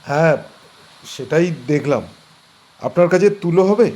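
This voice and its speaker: A man's voice: on the high side, animated, a little slow, darkish tone. An actor playing a stranded young man who speaks politely and warily in a radio drama. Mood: wary.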